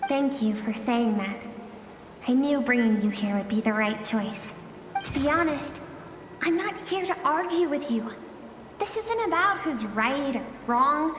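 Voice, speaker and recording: High, echoing voice of an earnest girl. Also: lively intonation, unhurried, noisy background. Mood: tender, sorrowful. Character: gentle, earnest girl, a warrior's younger inner self. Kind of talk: video game voice acting. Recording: echoing, long reverb effect.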